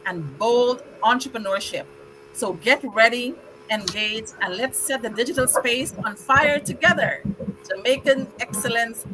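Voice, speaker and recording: A woman's voice: medium pitch, background noise. A middle-aged woman from Guyana hosting a live online show, welcoming guests and reciting a rhyming poem in an upbeat, rhythmic way. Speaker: host.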